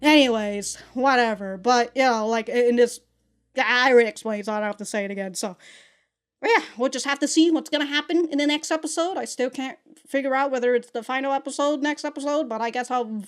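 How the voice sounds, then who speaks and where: A young woman with a fairly high voice talks through an anime episode in a loose, rambling way. She restarts sentences often and breaks into laughter. Faint music plays behind her. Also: fairly quick, clean tone. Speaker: anime reaction host. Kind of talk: casual anime review.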